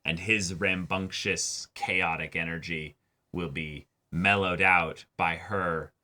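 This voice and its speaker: Bright, forceful low-voiced man. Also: steady tone, slow pace. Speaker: co-host of an anime reaction channel. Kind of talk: casual discussion of an anime episode.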